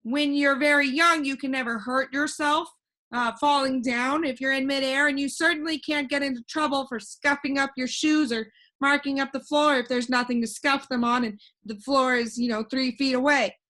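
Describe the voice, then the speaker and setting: Cheerful storybook boy, played by a woman, proud and lecturing; high-pitched, crisp and bright. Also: steady tone, normal speed. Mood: matter-of-fact, amused. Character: cheerful, know-it-all young boy who floats in air. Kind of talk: animated children's storybook reading.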